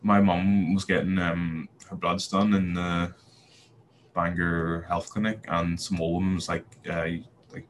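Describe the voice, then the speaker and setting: A man's voice: low-pitched, level tone, measured pace, a little hiss or hum. A young man with a Belfast accent, a podcast guest talking casually with a lot of fillers and restarts. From Northern Ireland. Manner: hesitant, many fillers and restarts. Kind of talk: casual interview talk.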